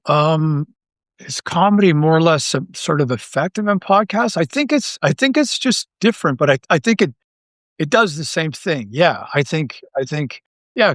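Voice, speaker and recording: A man with a somewhat high-pitched voice talks as a guest in a casual interview. He rambles and restarts, repeating words as he works out his thoughts. The sound is very dry, with no room echo. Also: a bit bright. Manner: rambling, thinking aloud with false starts and repeated words. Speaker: interview guest, audio drama writer and creator.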